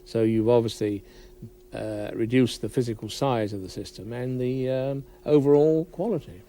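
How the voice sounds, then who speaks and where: A man giving a measured technical explanation as an interviewed audio expert. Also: mid-range voice, warm tone.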